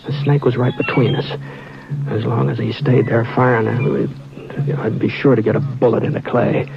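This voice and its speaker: An actor playing a calm frontier cowboy who also narrates the tale, talking in a measured, reassuring way. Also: male voice, medium pitch, gravelly, natural voice. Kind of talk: 1950s radio drama acting. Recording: dull old radio transcription with music.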